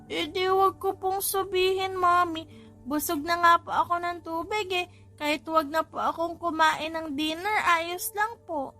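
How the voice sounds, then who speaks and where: A woman's voice: very high-pitched, fairly even intonation, measured pace, sharp tone, soft background music, voice pushed up, full character voice. A woman voicing a mischievous, boastful little boy with a childlike manner in an audiobook story. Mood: playful, cheeky. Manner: childlike, polite pleading to mother. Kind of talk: audiobook character voice in a family romance story.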